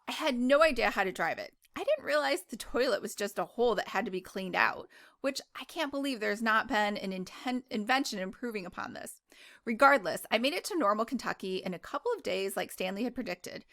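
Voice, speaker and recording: A woman's voice: fairly high pitch, a bit bright, no room sound, clean studio sound. A woman reading a first-person novel aloud in a casual, informal way, sometimes stumbling and correcting herself. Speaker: book club host reading a novel aloud.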